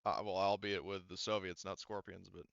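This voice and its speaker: A man with a smooth, clear voice runs a tabletop game as game master. He explains things casually, rambling and halting as he goes. The sound is dry and a little dull, like voice chat. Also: sits low, flat delivery, fairly bright tone, no echo.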